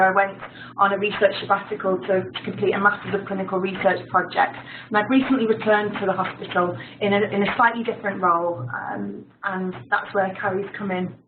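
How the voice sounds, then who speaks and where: A woman's voice: mid-range voice, noisy background. A British woman, a research physiotherapist giving an upbeat, chatty webinar introduction with frequent ums. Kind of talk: webinar presentation. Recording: echoey room over a phone-like line.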